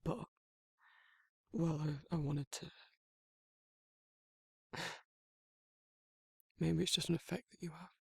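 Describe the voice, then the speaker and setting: A man playing a guarded but soft-hearted werewolf in an intimate ASMR roleplay, talking in a halting, informal way with a husky, gravelly voice. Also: fairly high pitch, steady tone, dry recording, exaggerated voice. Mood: shy, tender. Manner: hesitant, halting, rambling, informal. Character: guarded, soft-hearted male werewolf shyly confessing affection.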